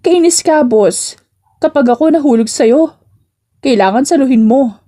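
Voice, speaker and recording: Coy, teasing young working woman for audio romance, read by a female narrator; slightly high, mellow voice. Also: animated, unhurried. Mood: coy, playful. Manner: teasing, coy, mock-annoyed.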